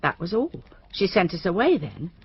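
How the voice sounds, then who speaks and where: An actress playing a deferential, anxious widowed cleaner, confiding hesitantly in a radio drama. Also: female voice, fairly low pitch, sing-song, normal speed, breathy edge, no echo, highs cut off, heavily acted.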